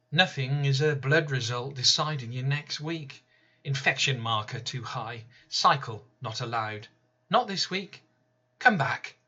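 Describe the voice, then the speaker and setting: A man reading his own poem aloud in a slow, deliberate, measured way. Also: medium pitch, bright, forceful tone, highs cut off. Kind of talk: spoken-word poetry reading.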